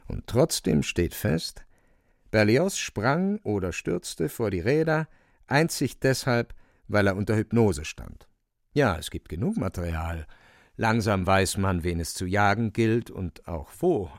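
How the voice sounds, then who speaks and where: Animated, creaky low male narrator. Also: measured pace, no room sound, studio quality. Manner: wry, storyteller's colloquial asides. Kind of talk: scripted radio play narration.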